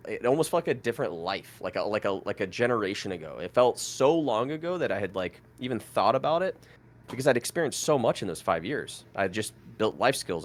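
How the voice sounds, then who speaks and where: A man in his thirties, born in France with French as his first language, telling his story as a podcast guest in a rambling way full of fillers and restarts. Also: on the low side. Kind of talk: casual storytelling interview.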